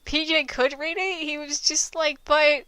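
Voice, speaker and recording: A woman's voice: sits high, steady tone, unhurried, bright, forceful tone, no room sound, studio quality. A woman giving casual, joking commentary on a story, teasing and exclaiming over its flaws. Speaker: co-host commenting on fanfiction.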